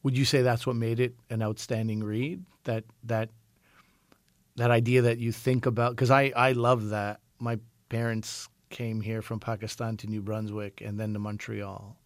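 A man's voice: mid-range voice, little pitch movement, moderate pace, dry recording. A man from Canada hosts a radio books show, asking curious, probing questions with restarts and reading the outro.

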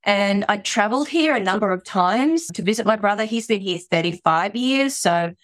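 A woman's voice: medium pitch, lively intonation, moderate pace, a bit bright, dry recording. A middle-aged Australian woman chatting as a guest on a podcast. She talks freely, restarting her sentences and filling with "you know". The recording is dry and close. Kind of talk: conversational interview.

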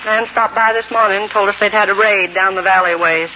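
An actress as bright, folksy frontier neighbour woman. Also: mid-range voice, fairly even intonation, brisk, lightly acted. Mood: gossipy. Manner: folksy, gossipy, rustic phrasing. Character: chatty, plain-spoken, motherly frontier neighbour woman. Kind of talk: 1940s radio character acting. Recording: muffled 1940s radio recording, faint noise.

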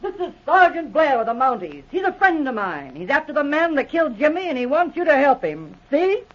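A tough, protective frontier businesswoman speaks bluntly with folksy slang and big swings of pitch. It is 1940s radio drama, heard on a dull lo-fi recording. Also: big pitch swings, brisk. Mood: soothing. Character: tough, protective woman running a frontier entertainment house.

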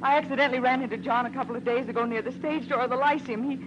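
An American woman playing a brassy, guarded, sharp-tongued woman in trouble, snappy and defiant, in 1940s radio drama style. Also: high-pitched, steady tone, rapid-fire, muffled recording, lightly acted. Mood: confiding. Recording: noisy old radio drama recording.

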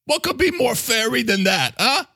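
A man's voice: very high voice, very animated, normal speed, vocal fry, bright, forceful tone, breathy edge, dry recording, studio quality, pitched above the performer's own voice, full character voice. A man voicing a touchy, foul-mouthed talking coffee maker with a New York accent, blustery and defensive. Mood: blustery, pushy. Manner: blustery, defensive, swearing, badgering with questions.

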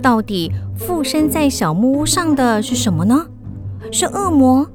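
A woman narrating a children's radio drama in an expressive, suspenseful storytelling style. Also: high-pitched, a little slow, over music.

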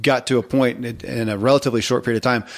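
A man's voice: medium pitch, fairly even intonation, rapid-fire. A man hosting a podcast interview conversationally, circling through long questions and clarifications for listeners. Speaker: podcast host and author.

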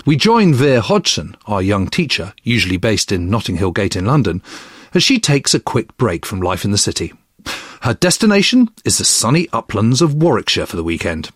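A man presenting a history podcast with scripted narration in a husky voice. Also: lowish voice, sing-song, moderate pace, dry recording, clean studio sound.

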